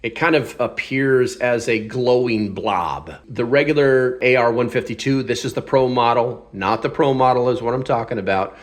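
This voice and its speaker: A man's voice: medium pitch, moderate pace, few pauses. A man hosting a casual hands-on product review, speaking in a blunt, informal, opinionated way. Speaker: product reviewer and channel host.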